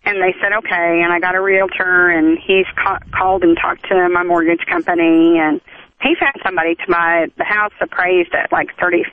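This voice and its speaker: A woman's voice: fairly low pitch, little pitch movement, a little fast, no room sound. A woman calling in to a radio show, chatting casually and explaining in a hesitant, rambling way. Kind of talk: casual phone-in conversation. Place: phone call to a radio show. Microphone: telephone. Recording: narrow, tinny phone line.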